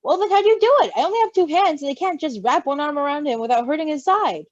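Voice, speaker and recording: Storybook young man played by a female reader: playful, doting, exclaiming, high-pitched with big melodic swings. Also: expressive, quick pace, no echo, highs cut off. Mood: flustered. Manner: bright exclaiming, informal.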